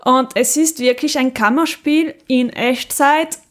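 A woman's voice: sits high, fairly even intonation, measured pace, a bit bright. A woman co-hosting a podcast, chatting casually and conversationally, with an easy laugh, as she gives recommendations. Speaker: podcast co-host. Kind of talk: casual chat, recommending audio dramas.

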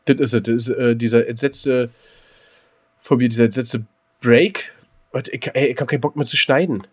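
A man's voice: mid-range voice, moderate pace. A man co-hosting a podcast, chatting casually over a video call, rambling with lots of fillers. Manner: relaxed, rambling, many fillers. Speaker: podcast co-host.

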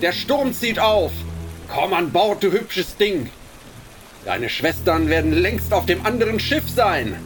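A gruff, leering ship's officer in a radio play, barking orders in a raised, high-set voice. Rain and music sound behind him. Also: male voice, very animated, slow pace, exaggerated voice. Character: gruff male ship's officer herding children aboard. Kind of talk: radio drama acting.

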